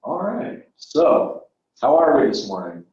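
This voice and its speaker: A man's voice: medium pitch, very animated, unhurried, darkish tone. A man moderating a student panel, asking questions in an upbeat, chatty way. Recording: echoey room, far from mic, dull sound.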